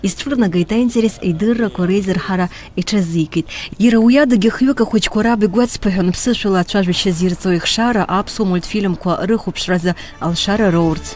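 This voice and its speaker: A woman's voice: mid-range voice, a little fast, darkish tone. A woman reporter delivering scripted news narration. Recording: music behind the voice. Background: music.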